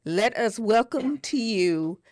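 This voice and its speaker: A woman's voice: fairly high pitch, expressive, takes it slowly, a little mellow, no echo, studio quality. A woman co-hosting a podcast in casual chat, speaking emphatically and enthusiastically and often repeating words. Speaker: podcast co-host.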